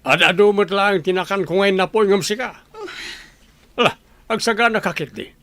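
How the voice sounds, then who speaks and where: A man's voice: high-pitched, normal speed, bright, forceful tone, no echo. A man playing a stern, materialistic middle-aged father in a Filipino radio comedy drama. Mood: stern, pushy. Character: stern, materialistic father pushing his daughter to marry rich.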